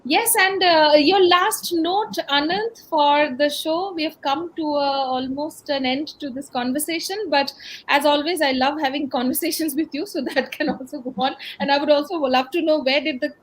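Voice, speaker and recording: A woman's voice: sits high, normal speed, poor audio. A woman with an Indian accent hosting an interview in a conversational style. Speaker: interview host.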